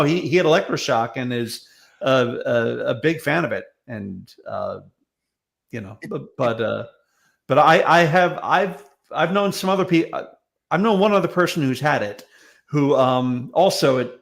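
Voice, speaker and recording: A man chatting casually as a panel guest, with a wry, halting way of talking. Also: mid-range voice, gravelly. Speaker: guest commentator. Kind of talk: casual comic panel chat.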